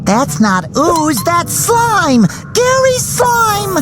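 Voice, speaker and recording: A man voicing a childlike, earnest, anxious cartoon sea sponge for a video game, squeaky, nasal and excitable. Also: extremely slow, full character voice. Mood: pondering. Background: loud game music.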